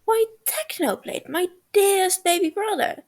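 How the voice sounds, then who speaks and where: A woman's voice: very high voice, lively intonation, a little slow, a little mellow, pitched above the performer's own voice, heavily acted. A woman voicing a cheerful, clingy young man who teases his brother in a storybook reading.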